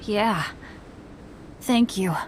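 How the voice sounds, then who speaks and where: A woman playing an earnest, gentle young adventurer boy in a video game. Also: mid-range voice, drawn-out words, background noise. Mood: kind, sheepish. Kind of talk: video game character acting. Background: music.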